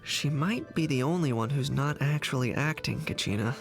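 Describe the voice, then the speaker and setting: A man voicing a cool, dry young man in an anime-style game, measured and deadpan as he directs others. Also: mid-range voice, vocal fry, loud music behind the voice, natural voice.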